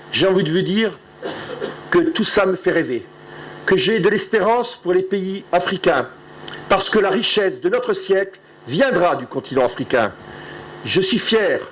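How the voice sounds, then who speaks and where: A man's voice: sits high, a little hiss or hum, poor audio. A middle-aged man from Alsace giving an earnest, proud closing speech at a conference. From Alsace, France. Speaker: conference speaker.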